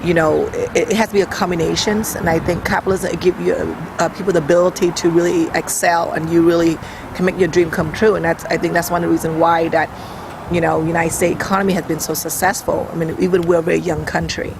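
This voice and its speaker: A middle-aged speaker from Vietnam rambles through family memories, thinking aloud as they go. The voice has a gravelly, fry-edged texture. Clear background noise and traffic sit behind the speech. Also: mid-range voice, flat delivery, fast. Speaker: interviewee. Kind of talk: oral history interview.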